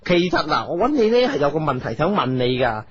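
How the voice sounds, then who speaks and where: A woman's voice: sits low, sing-song, fast talker, crowd noise. A woman voicing a fussy, rambling old wizard king with a Hong Kong Cantonese accent in a radio comedy drama. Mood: gentle, coaxing. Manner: rambling, hesitant, exclamatory. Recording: slightly dull audio over music.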